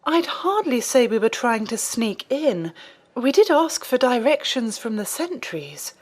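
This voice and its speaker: A woman playing an earnest, gently chiding nun in an audio drama, composed and polite. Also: on the high side, very animated, a little slow. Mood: composed, formal. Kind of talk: scripted audio drama acting.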